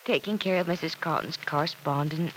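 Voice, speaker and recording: Actress as reassuring young radio soap daughter. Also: female voice, deep voice, brisk, lightly acted. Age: young adult. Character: cheerful, reassuring grown daughter, young working woman. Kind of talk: old-time radio soap opera acting. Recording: noisy old radio recording.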